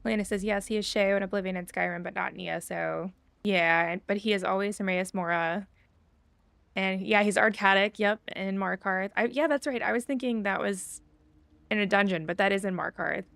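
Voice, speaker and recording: Female game streamer, casual and chatty, noticeable vocal fry, dry close mic. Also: medium pitch, steady tone, moderate pace, fairly bright tone, no echo. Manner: chatty, thinking aloud. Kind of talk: casual livestream chat while playing.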